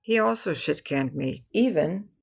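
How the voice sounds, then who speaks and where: Older woman, American accent, gravelly fry, spoken-word poem, short measured phrases, light music underneath. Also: low voice, animated. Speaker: poet reading own work. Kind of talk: spoken-word poetry reading. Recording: music behind the voice.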